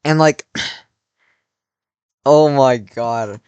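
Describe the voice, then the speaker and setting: A man's voice: mid-range voice, extremely slow, a little mellow, clean tone, no echo. A young man in his late teens tells a story in a rambling, casual way, full of "like" and restarts. His voice is a little worn from cheering. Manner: rambling, many "like" fillers and restarts. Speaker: vlogger.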